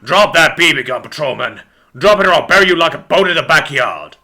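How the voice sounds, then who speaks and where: Man playing irate police chief animal, commanding, barking, raised sharp voice, crime storybook. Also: on the high side, big pitch swings, fairly quick, pitched above the performer's own voice, heavily acted. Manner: barking orders. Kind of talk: dramatic storybook character voice.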